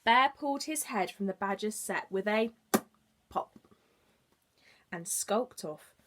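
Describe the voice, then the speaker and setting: A woman reads to small children as an early years teacher. Her delivery is slow and very melodic, swinging up and down. She adds simple explanations along the way. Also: medium pitch, noisy background. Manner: expressive, explaining for young children. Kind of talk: children's storybook narration with asides to listeners.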